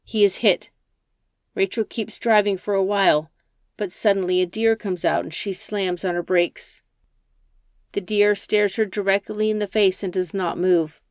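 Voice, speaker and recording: A woman's voice: medium pitch, fairly even intonation, a little slow, smooth, dry recording, clean studio sound. A woman reading a scripted story as an audiobook narrator.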